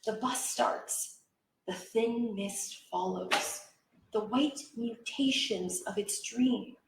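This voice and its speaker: A woman reciting poetry as a dramatic reader, with measured, expressive and deliberate phrasing. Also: fairly high pitch, gravelly, background noise. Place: indoor library event room. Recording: echoey room, live reading.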